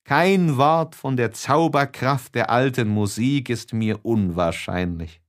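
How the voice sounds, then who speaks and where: A man's voice: mid-range voice, slow pace, natural voice. A man voicing a lovestruck, tormented young letter writer in an audiobook, impassioned and given to sighs. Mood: exalted. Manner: impassioned, sighing, swinging between hush and exaltation.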